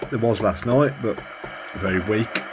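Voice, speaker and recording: Low-voiced man rambling over background noise. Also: unhurried. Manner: rambling, thinking aloud. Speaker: radio hobbyist presenter. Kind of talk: casual hands-on gear demonstration.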